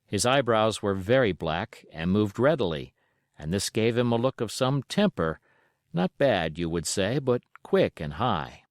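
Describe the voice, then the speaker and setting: A man reading a storybook aloud as scripted, expressive narration. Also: on the low side, a little slow, no room sound, clean studio sound. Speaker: audiobook reader.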